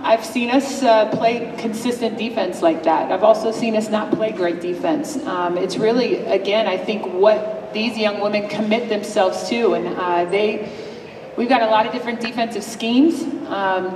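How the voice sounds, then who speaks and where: A woman in her forties, a head coach, answering press questions in a measured, thoughtful way with frequent pauses to think. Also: medium pitch, steady tone. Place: large press room with a table. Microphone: table mic from a distance. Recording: echoey hall, distant mic, room noise.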